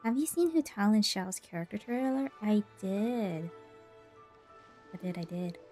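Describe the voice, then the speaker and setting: A woman streams a game with casual, easygoing commentary. Her tone is dark and relaxed at an average pitch, with faint music underneath. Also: a little slow. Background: soft game music.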